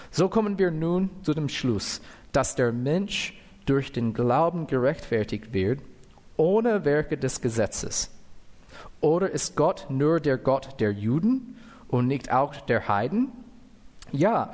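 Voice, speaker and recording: An American man whose first language is English, preaching a sermon and reading scripture, careful and deliberate, with fillers and self-corrections. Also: medium pitch, a little mellow. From United States. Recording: long hall reverb.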